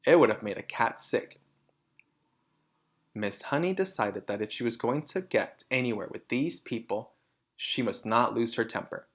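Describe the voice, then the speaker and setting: A man reads a children's story aloud as narrator. His mid-pitched voice moves up and down in a very lively way. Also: normal speed, husky. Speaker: storybook reader.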